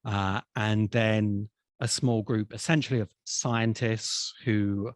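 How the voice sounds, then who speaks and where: Deep-ish guy explaining stuff slowly and carefully, super dry recording. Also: fairly even intonation, dry recording. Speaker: sci-fi expert guest. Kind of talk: explanatory conversation.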